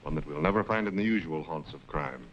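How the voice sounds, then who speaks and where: Blunt, challenging city mayor, deep register, muffled 1940s serial soundtrack. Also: male voice, lively intonation, fast talker. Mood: skeptical, grave. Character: gruff, impatient male city mayor. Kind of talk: 1940s film serial acting. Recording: dull, noisy 1940s film soundtrack.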